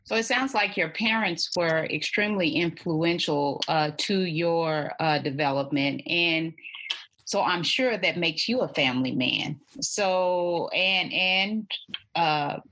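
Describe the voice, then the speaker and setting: A woman's voice: lowish voice, slow, fairly bright tone. A woman hosting an interview, asking questions and affirming with frequent "mm-hmm.". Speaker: podcast host.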